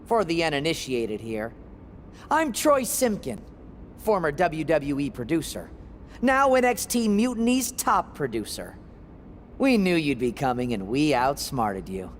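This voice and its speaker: An actor playing a smarmy, cowardly wrestling-show producer in a video game, with theatrical delivery. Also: male voice, fairly high pitch, very animated, measured pace. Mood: smug. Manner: theatrical, smug or pleading. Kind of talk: video game cutscene acting. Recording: echoey hall with faint noise.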